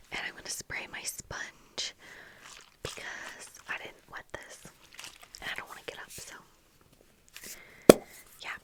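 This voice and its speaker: A young woman speaking in a breathy whisper, softly and casually rambling in an ASMR style, close to the microphone. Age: young adult. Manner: soft, unhurried, casual rambling. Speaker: ASMR video creator. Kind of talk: whispered ASMR, casual get-ready-with-me chat. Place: small indoor room at home with bookshelves. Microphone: close fluffy-covered microphone, monitored on earphones.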